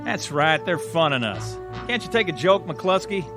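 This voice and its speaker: A man's voice: on the high side, big pitch swings, moderate pace, music in the background. A man playing a sarcastic, cocky, teasing National Guard tank corporal in a radio drama. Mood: flippant.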